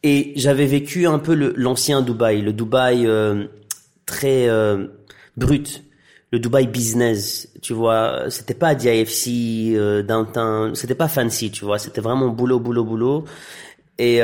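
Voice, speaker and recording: A man in his thirties with Arabic as his first language, raised in Tunisia and Belgium, talking casually as an interview guest with many fillers and restarts. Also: medium pitch. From Iraqi heritage, raised in Tunisia and Belgium. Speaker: interview guest, actor and director.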